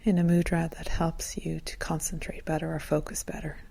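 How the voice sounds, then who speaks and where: A woman leading a guided meditation in a gentle, calm, soothing manner, as a teacher would. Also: deep voice, fairly quick, gravelly, no room sound.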